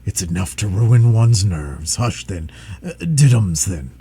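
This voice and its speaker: A man voicing a tired, fussy male dragon who grumbles in a low, sleepy way. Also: very animated, a little slow, dark tone, breathy edge, exaggerated voice. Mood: weary. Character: weary whining male dragon, big and fussy.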